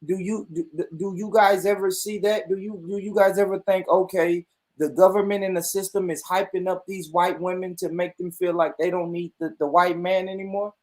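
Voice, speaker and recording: A man's voice: high-pitched, fairly even intonation, moderate pace, darkish tone. A man hosting an online panel talk in loose slang, welcoming guests and asking questions. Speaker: host.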